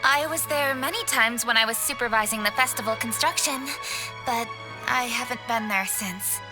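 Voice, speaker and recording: A woman's voice: fairly high pitch, normal speed, over music, lightly acted, low-quality recording. A woman playing a formal young official. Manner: formal, polite, composed. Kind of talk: game cutscene voice acting.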